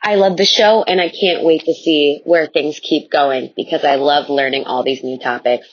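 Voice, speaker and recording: Lower-voiced young American woman, muffled voice memo. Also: fairly even intonation, normal speed. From United States. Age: 20s. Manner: earnest, appreciative. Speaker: listener leaving a voice memo, teacher. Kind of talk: earnest voicemail message. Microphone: recorded voice memo. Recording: dull, lo-fi voice memo.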